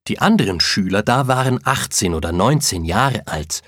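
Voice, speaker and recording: An earnest immigrant cook. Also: male voice, on the low side, lively intonation, fairly bright tone, no echo, studio quality. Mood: sincere. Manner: plain, measured telling. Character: Ecuadorian man in his late thirties, trained cook, earnest. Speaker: interviewee in textbook listening exercise. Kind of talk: scripted first-person account.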